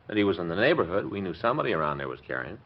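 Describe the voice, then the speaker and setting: A man's voice: sits low, sing-song, rapid-fire, exaggerated voice. A man playing a plain-spoken, folksy patrol cop in a 1950s radio drama. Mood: earnest. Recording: dull old radio recording.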